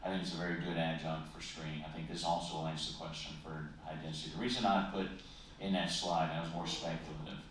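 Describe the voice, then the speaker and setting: A man's voice: very deep voice, flat delivery, fast talker, a bit bright, slight background noise. A man presenter answering audience questions, talkative and explanatory. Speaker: presenting speaker. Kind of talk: conference Q&A answer. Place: large conference hall. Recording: echoey hall, distant mic.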